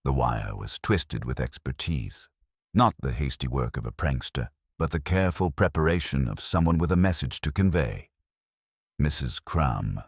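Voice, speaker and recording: A man reading a cosy mystery story as narrator, in a measured, wry, literary way. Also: extremely low pitch, breathy edge, no echo, clean studio sound.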